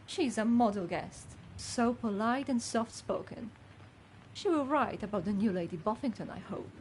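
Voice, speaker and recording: A woman's voice: a little high, expressive, normal speed, a little mellow, a little hiss or hum, natural voice, poor audio. A woman playing a gossipy, judgmental older housekeeper in a video game, with a fussy, haughty manner. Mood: prim, approving. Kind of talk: scripted game character dialogue.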